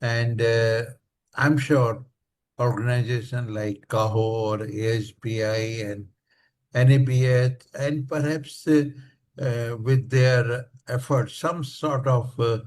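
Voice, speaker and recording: Man, Indian accent, unhurried slow pace, medium pitch, panel moderator. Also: steady tone, darkish tone. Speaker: session moderator.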